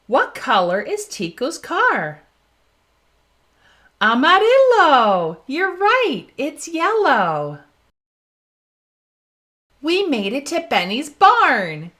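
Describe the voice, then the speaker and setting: A woman's voice: high-pitched, big pitch swings, drawn-out words, pauses often, sharp tone, pitched above the performer's own voice, heavily acted. A woman reading aloud as a cheerful young girl explorer in a children's storybook, asking listeners questions and praising them. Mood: cheerful, excited. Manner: asks the listener questions, then praises the answer.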